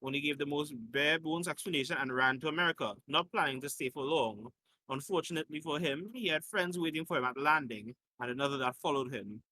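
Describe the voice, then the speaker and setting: A man's voice: a little high, quick pace, pushed and bright, dry recording, low-quality recording. A man reading a story aloud in an informal way, as a host who slips in his own asides and corrections.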